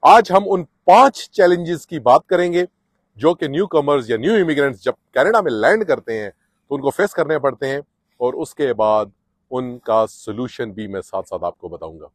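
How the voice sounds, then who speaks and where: A man's voice: mid-range voice, expressive, normal speed, no room sound, studio quality. A middle-aged man talks to camera on a sunny residential street, giving earnest advice to newcomers with frequent filler sounds. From Pakistan or India. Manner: earnest, advising, with many filler "uh"s. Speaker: immigration advice vlogger. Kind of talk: casual explanatory vlog to camera.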